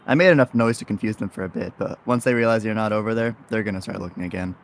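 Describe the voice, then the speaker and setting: A man's voice: on the low side, quick pace, a little hiss or hum, natural voice. A man playing an easygoing, cheeky young rogue in an audio drama, talking in casual, wry slang. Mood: urgent, coaxing. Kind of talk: audio roleplay drama acting.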